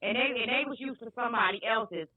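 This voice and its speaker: A woman's voice: a little high, fast, no room sound. Earnest Southern woman, tinny dry sound. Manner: earnest, preachy, repeats herself. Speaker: caterer and guest. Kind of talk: casual podcast talk.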